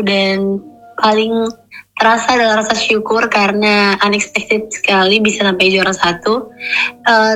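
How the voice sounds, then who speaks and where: A hoarse young woman from eastern Indonesia, a radio interview guest who mixes in English phrases. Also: mid-range voice, sharp tone, low-quality recording.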